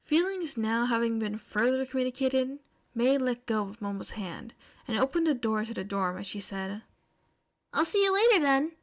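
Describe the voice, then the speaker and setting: A woman's voice: a little high, moderate pace. A woman reading scripted fiction aloud as an audiobook-style narrator. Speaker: podfic narrator. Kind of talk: scripted story narration, with casual chat afterwards.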